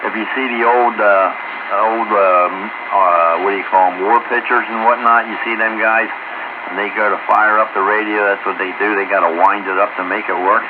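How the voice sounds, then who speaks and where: A man's voice: lowish voice, fairly even intonation, moderate pace. A man chatting casually over amateur radio in a folksy, easygoing manner. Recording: muffled radio transmission, heavy static.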